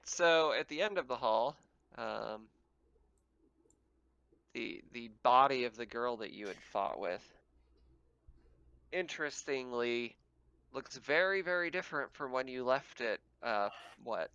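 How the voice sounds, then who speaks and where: A man narrating a tabletop roleplaying game as game master, describing scenes in a casual, halting way. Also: medium pitch, lively intonation, creaky, bright, forceful tone, clean tone, dull audio.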